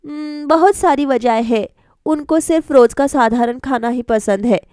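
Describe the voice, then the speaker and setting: A woman acts out a young cartoon daughter-in-law, caring and dutiful. The voice is high and unhurried, with polite, respectful phrasing. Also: darkish tone, clear, resonant tone, dry recording, studio quality. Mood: explaining.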